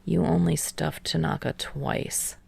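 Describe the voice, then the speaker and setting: Woman as a low, deadpan teenage boy. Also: slow, gravelly, husky, no echo, exaggerated voice. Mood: dry, sarcastic. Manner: deadpan, muttering, understated. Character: dry, guarded, sarcastic teenage boy. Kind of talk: audiobook character voice in fan fiction reading.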